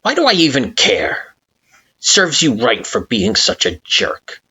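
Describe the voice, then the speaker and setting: A woman voicing an angry, sarcastic male flower monster with a shrill, sharp-tongued delivery. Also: wildly swooping pitch, slow pace, husky, exaggerated voice. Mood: bitter. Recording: dull lo-fi recording.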